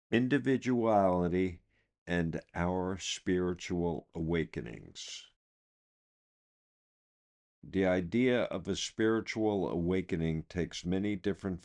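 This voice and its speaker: A man with a low voice reads a scripted reflection for a podcast. His pace is very unhurried, and each phrase is set down deliberately. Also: a little mellow. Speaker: podcast reader. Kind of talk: scripted devotional reading.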